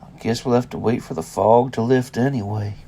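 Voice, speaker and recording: An actor playing a hot-tempered, troubled father in an audio drama, gruff and prone to swearing. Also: medium pitch, fairly even intonation, fairly quick, mellow, slight background noise, lightly acted. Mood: weary.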